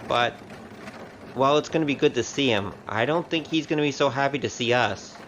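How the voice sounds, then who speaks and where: A man's voice: medium pitch, fairly quick, a bit bright, lo-fi sound, natural voice. Breezy wry rogue played by a man, noisy recording. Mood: chatty, wry. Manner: casual, wry, chatty. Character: breezy, chatty male wandering rogue with a wry streak. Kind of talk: audio drama acting.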